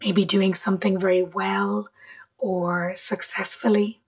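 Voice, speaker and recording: A woman leading a guided meditation in a soft, breathy voice, gently, with long pauses between short phrases. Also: mid-range voice, level tone. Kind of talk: guided meditation, slow and measured.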